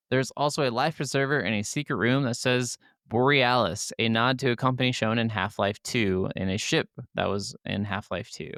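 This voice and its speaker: Male podcast co-host, casual delivery, fairly deep and clear tone. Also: fairly bright tone, no room sound, studio quality. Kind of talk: casual gaming podcast chat.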